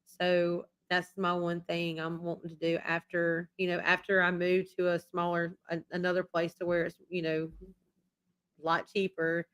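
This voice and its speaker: A woman hosting a relaxed live stream, her voice low-set and fairly level. She rambles and circles back over the same points. She is losing her voice after being sick, and it sounds a little worn. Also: moderate pace, clear, resonant tone. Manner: rambling and repetitive. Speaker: YouTube channel host. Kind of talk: casual live-stream chat.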